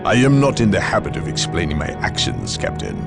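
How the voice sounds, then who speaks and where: A man playing a cold, proud, zealous inquisitor in a video game, measured and imperious. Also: sits low, huge pitch swings, creaky, over loud music, exaggerated voice. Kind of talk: dramatic video game acting.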